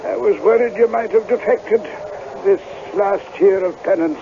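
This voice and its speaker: A gruff, aging Scottish villager with a high, gravelly voice. It is radio drama acting, with clear noise over the old broadcast. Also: male voice, moderate pace, dull audio. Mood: watchful, friendly. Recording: noisy, band-limited old radio broadcast.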